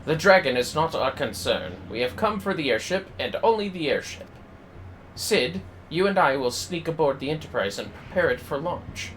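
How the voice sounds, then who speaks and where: A man's voice: fairly high pitch, big pitch swings, normal speed, fairly bright tone, slight background noise, low-quality recording. A man voicing a haughty, commanding young male fantasy game character in a formal, imperious manner.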